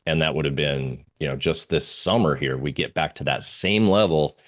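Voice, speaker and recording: A man giving informal live market commentary as a guest analyst, halting and searching for his words. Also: extremely low pitch, fairly bright tone.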